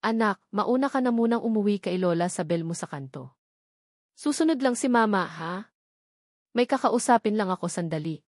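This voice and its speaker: A woman's voice: mid-range voice, lively intonation, moderate pace, a little mellow, dry recording, studio quality, natural voice. Woman voicing a pleading, tearful young mother. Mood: anxious. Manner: pleading, trembling. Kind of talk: dramatic romance story narration.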